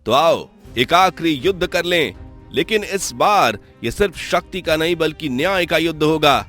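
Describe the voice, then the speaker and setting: A man's voice: a little high, animated, normal speed, fairly bright tone, faint music behind. Man playing a noble, earnest young cartoon prince. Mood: stern, principled. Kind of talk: Hindi cartoon moral-story voice acting.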